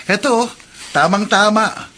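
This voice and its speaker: A man acting an excited, cheerful young son newly in love in a Filipino radio drama. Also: sits high, unhurried, a little hiss or hum. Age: young adult.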